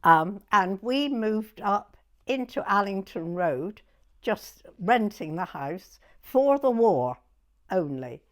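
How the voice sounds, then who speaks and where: Woman in her nineties, southern English accent, unhurried pace, halting delivery, oral history reminiscence. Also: medium pitch, sing-song. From southern England. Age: 90s. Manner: halting, pausing to recollect. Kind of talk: reminiscing in an interview.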